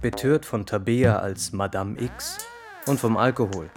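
A man's voice: on the low side, unhurried. An actor playing a suave fictional killer who needles his author in a radio play, terse and probing, over music. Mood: needling. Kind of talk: literary radio play dialogue.

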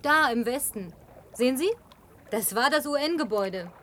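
Prim, commanding young hostess, slightly high voice, film dub. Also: female voice, animated, normal speed, fairly bright tone, slight background noise. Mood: playful. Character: lonely young woman, bossy fussy hostess. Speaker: dubbing actress.

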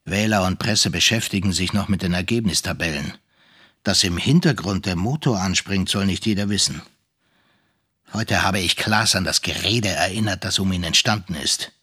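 A man's voice: low voice, normal speed, sharp tone, breathy edge, heavily acted. A man playing a calculating, vengeful state premier in a cold, pointed monologue. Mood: cold, scheming. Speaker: actor in a radio drama. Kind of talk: dramatic first-person monologue.

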